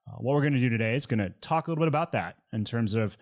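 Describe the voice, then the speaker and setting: A man hosting a podcast interview, relaxed and joking, with plenty of fillers. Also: mid-range voice, racing. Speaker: podcast host.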